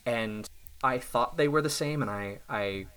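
A man in his thirties, a self-employed software developer, talking casually and thoughtfully about his work, often restarting his sentences. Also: medium pitch, measured pace, clear, resonant tone. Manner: thoughtful, reflective, with restarts and small laughs. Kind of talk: casual podcast conversation.

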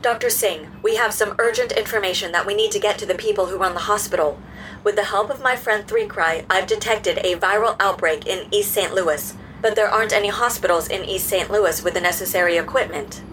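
An earnest public health robot played by a woman in an audio drama. The phrasing is precise, formal and courteous. Background ambience sits clearly behind the voice. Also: medium pitch, fairly quick, a bit bright, a little hiss or hum, close to an everyday voice, low-quality recording. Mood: earnest, composed.

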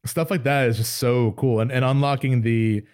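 A man's voice: medium pitch, steady tone, moderate pace, darkish tone. A man co-hosting a podcast, discussing video games in a conversational, enthusiastic way. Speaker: podcast co-host. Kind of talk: casual video game discussion.

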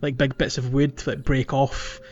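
A man's voice: medium pitch, flat delivery, normal speed, dull audio, a little hiss or hum. A man co-hosting a podcast, chatting casually about a video game, rambling with lots of fillers and little laughs. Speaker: podcast co-host.

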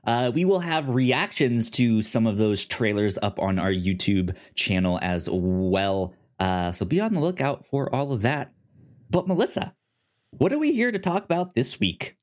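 A man co-hosting a movie podcast in a casual, chatty way, quick to laugh. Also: medium pitch, lively intonation. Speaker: podcast co-host.

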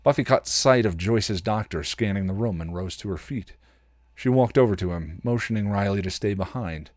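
Deep-voiced man narrating a story. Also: normal speed, a little mellow, dry recording. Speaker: podcast host reading fan fiction.

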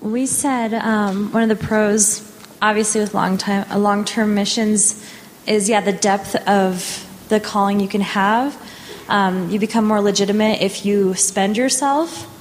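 A woman reporting her group's points aloud, somewhat halting as she thinks. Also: medium pitch, fairly even intonation. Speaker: discussion participant. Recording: echoey hall, faint noise.

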